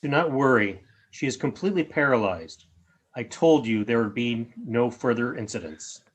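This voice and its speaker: A man playing a calm, formal alien in a sci-fi radio play, speaking in measured, clipped announcements. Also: mid-range voice, slightly rough, lightly acted. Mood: calm, reassuring.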